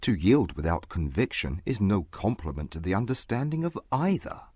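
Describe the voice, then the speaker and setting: Man playing a proud, low-voiced reserved gentleman. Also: sing-song, slightly rough, no echo, clean studio sound. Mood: argumentative. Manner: formal, precise, measured argument. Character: proud, reserved young gentleman of exacting, argumentative temper. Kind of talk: dramatised classic novel audiobook.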